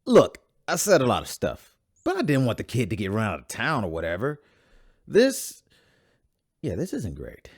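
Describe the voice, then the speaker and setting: Man putting on a defensive pilot, husky voice, wildly swooping pitch, from off-mic. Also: wildly swooping pitch, moderate pace, dry recording, studio quality, heavily acted. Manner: defensive, offhand. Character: gruff defensive male spaceship pilot.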